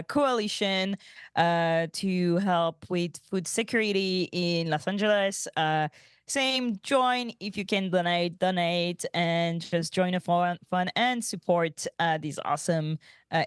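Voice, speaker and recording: A woman co-hosting a podcast, giving announcements in casual chat and searching for her words in a halting way. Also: fairly low pitch, clear, resonant tone, no room sound, studio quality. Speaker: podcast co-host.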